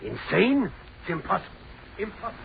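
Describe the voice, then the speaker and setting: An actor playing a flustered, pompous university head in an old-time radio drama, sputtering and repeating himself. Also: male voice, high-pitched, sing-song, a little fast, creaky, heavily acted. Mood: incredulous. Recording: narrow, hissy old radio recording.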